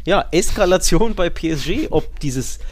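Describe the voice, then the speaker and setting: A man's voice: a little high, animated, moderate pace. A man co-hosting a football podcast, explaining matches casually and restating points for emphasis.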